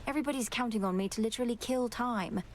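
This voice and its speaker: A woman's voice: on the high side, fast talker, a little hiss or hum, close to an everyday voice. A woman playing a determined young witch princess in a video game, formal and earnest. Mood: exasperated. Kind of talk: video game character acting. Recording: music behind the voice.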